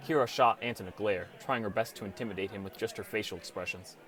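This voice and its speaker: A man's voice: on the low side, fast talker, a bit bright, some chatter behind. A man reading scripted first-person story narration as an audiobook narrator.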